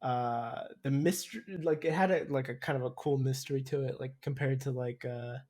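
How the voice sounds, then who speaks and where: A man's voice: medium pitch, steady tone, fairly quick, a little mellow. A young man co-hosting a podcast, chatting casually in a rambling, hesitant way full of hedges. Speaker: podcast co-host. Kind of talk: casual chat.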